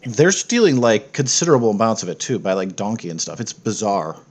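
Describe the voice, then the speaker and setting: Low-voiced man running a tabletop game. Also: very animated, brisk. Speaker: game master. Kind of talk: narrating a tabletop game, casual and improvised.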